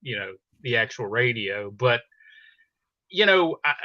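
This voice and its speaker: A man's voice: mid-range voice, very animated, unhurried, sharp tone, no room sound, highs cut off. A middle-aged man talking casually as a podcast guest in an interview. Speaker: podcast guest, audio drama producer.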